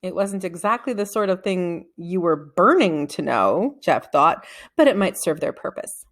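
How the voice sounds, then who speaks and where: A woman's voice: lowish voice, lively intonation, moderate pace, fairly bright tone. A woman narrating a children's storybook from a script, reading expressively and acting out the dialogue. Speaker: read-aloud narrator of a children's novel.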